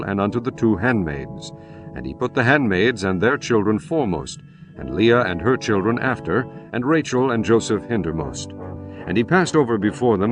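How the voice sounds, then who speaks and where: A man narrating scripture in a solemn, measured way over music. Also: on the low side. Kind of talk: dramatized scripture reading.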